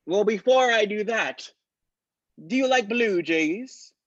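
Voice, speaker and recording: A man's voice: sits high, expressive, slow pace, fairly bright tone, voice pushed up, exaggerated voice. A man playing a tough, mean crime boss who blusters and gets earnestly sidetracked, in an improvised comedy radio play. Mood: menacing, sidetracked. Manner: blustering, easily sidetracked, earnest about hobbies. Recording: dull lo-fi audio.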